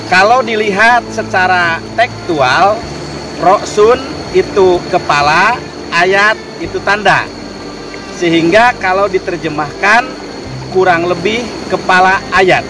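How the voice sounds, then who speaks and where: A man giving an explanatory religious lecture in a measured, didactic way, phrase by phrase. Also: sits high, noisy background. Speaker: lecturer on Quranic studies. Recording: distant mic with music behind. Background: clear background music.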